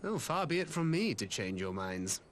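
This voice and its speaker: A man acting an articulate, wry gentleman in a fantasy video game, speaking formally with a British accent. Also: mid-range voice, very animated, moderate pace, a little hiss or hum, natural voice. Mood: wry resignation. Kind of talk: dramatic video game dialogue.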